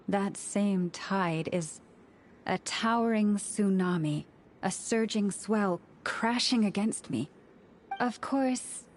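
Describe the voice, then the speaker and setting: Casting: actress for a gentle, solemn young moon priestess in a game, mid-pitched female, slow formal delivery. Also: a little hiss or hum, close to an everyday voice. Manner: measured, poetic, formal. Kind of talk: scripted video game dialogue.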